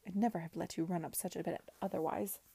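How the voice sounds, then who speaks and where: A woman voicing a male gambling-house owner in a period story, a low-born villain with affected, genteel politeness. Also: fairly even intonation, fast talker, vocal fry, mellow, slightly rough, no room sound. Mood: sneering. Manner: affected politeness, making a show of affront.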